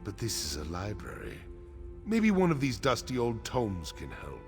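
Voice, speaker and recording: An actor playing a grim, resolute orc clan chief in a fantasy video game, gruff and solemn with emphatic delivery. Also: male voice, lowish voice, huge pitch swings, moderate pace, soft background music. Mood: gruff, exasperated. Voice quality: gravelly.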